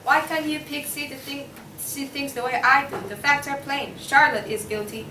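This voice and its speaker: A woman's voice: high-pitched, steady tone, normal speed, pushed and bright. A young woman in a student table read playing a stubborn, hot-tempered, argumentative juror pig in a comic courtroom play. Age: young adult. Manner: argumentative, indignant. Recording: distant, echoey room with clear background noise.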